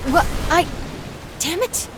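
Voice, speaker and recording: A woman's voice: takes it slowly, background noise, natural voice. A woman playing an impatient, sardonic pirate captain in a video game, with punchy, sarcastic delivery. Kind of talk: video game character acting. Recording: ship and sea ambience behind.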